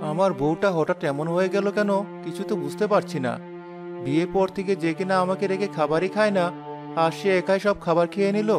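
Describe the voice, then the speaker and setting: A man's voice: high voice, fairly quick, over music, lightly acted. A man voicing a mild, puzzled and suspicious village husband in a Bengali cartoon story. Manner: questioning, wondering aloud.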